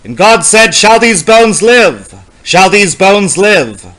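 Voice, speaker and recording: High-pitched man dramatically reading poetry. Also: very animated, a bit bright. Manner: solemn, deliberate, theatrical, incantatory. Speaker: poetry reader.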